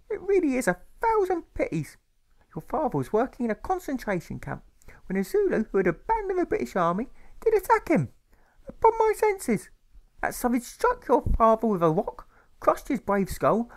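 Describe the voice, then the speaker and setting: Man putting on a shrill, distraught posh mother. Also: heavily acted. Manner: whimpering, shrieking, gushing, repetitive.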